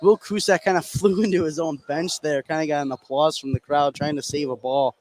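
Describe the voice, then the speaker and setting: A man's voice: on the high side, fairly even intonation, a little fast, crowd noise. A man giving casual live color commentary on a basketball game. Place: indoor high school gym. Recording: noisy gym background.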